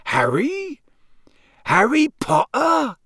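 A man voicing a genial, boastful middle-aged wizard father in an audiobook, with a booming, hearty, colloquial way of talking. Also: big pitch swings, unhurried, fairly bright tone, no echo, clean studio sound, full character voice. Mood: curious, amazed.

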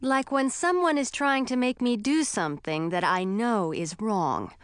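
A woman's voice: on the high side, unhurried, smooth, no room sound, clean studio sound, lightly acted. A woman voicing a stubborn, devoted young heroine in a fantasy audiobook, earnest and insistent.

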